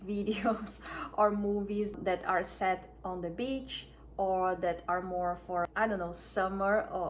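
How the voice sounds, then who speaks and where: A woman from Brazil with a Brazilian Portuguese accent, chatting to camera as a wellness vlogger, soft and unhurried, with frequent restarts. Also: medium pitch, slight background noise. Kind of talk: casual talk to camera.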